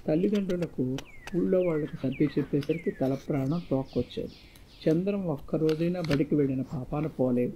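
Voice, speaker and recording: A man with a slightly high voice reads a moral tale as a storyteller. The recording is a little dull and muffled, with faint noise and birdsong ambience behind him. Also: mellow. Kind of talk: scripted moral story narration.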